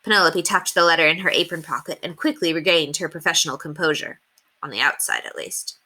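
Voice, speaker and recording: A woman reading a children's novel aloud as an expressive storyteller. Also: deep voice, a little fast. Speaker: read-aloud storyteller at an elementary school.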